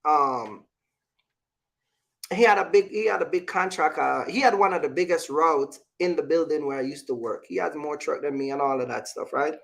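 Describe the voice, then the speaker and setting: A man's voice: fairly high pitch, moderate pace, creaky, fairly bright tone. A man talking casually as a vlog host, giving business advice and personal stories in an emphatic, repetitive way, often checking in with the listener.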